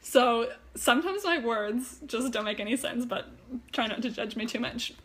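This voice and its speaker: A woman's voice: fairly high pitch, expressive, normal speed, fairly bright tone. A woman whose first language is German, chatting casually and rambling to viewers on a live stream, with frequent fillers and restarts. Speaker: wellness vlogger.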